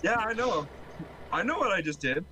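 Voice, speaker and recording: Lively teasing man with a highish voice. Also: normal speed. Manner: needling, teasing, foul-mouthed. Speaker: co-player on a game stream. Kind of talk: casual banter during gameplay. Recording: clear background noise, game vehicle sounds.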